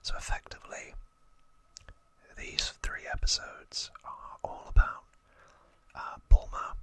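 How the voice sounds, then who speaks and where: An ASMR host explaining trivia off the cuff in a hushed, breathy, unvoiced whisper. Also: dry recording. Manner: slow, hushed, unscripted explaining.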